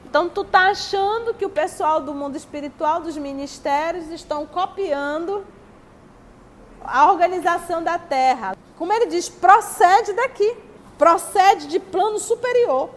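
A woman's voice: extremely high pitch, measured pace. A woman with a Brazilian Portuguese accent giving a spiritist study talk, reading passages and answering her own rhetorical questions in a teacherly way. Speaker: spiritist lecturer. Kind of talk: religious study talk, reading and commenting on texts. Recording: long hall reverb, faint background noise.

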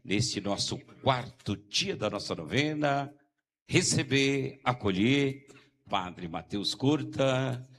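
A Brazilian man, a parish priest, welcoming visitors at a novena service in a slow, pausing way. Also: mid-range voice, animated, a bit bright. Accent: Brazilian Portuguese.

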